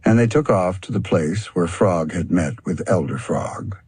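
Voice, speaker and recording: Deep, very husky male storyteller. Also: expressive, a little slow, darkish tone, no room sound. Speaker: audiobook narrator. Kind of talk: scripted storybook narration.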